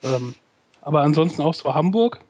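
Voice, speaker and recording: Ein Mann mit Mainzer Akzent, der als Podcast-Gastgeber locker plaudert und dabei zögernd und mit vielen Füllwörtern erzählt. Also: male voice, a little high, expressive, moderate pace, darkish tone. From Rhenish Hesse, Germany. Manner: rambling, hesitant, many fillers. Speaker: podcast host. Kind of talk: casual chat.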